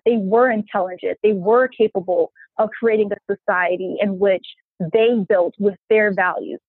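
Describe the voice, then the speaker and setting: A woman's voice: mid-range voice, breathy edge, dry recording. A woman engineer talking earnestly and with passion as a guest in an interview, heard over a remote line. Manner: earnest, passionate, quotes people in voices. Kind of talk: casual interview talk. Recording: tinny phone-like line.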